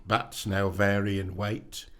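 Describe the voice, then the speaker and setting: A man in his seventies from England, a podcast guest telling stories in an unhurried way with dry wit. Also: fairly low pitch, little pitch movement, a bit bright. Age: 70s. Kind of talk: casual interview chat.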